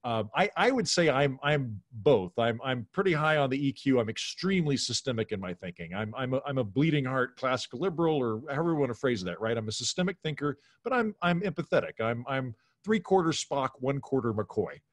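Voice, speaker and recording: A man's voice: mid-range voice, animated, brisk, few pauses, no room sound, studio quality. A middle-aged American man chatting casually and giving his opinions as a podcast guest on a video call. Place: home room on a video call.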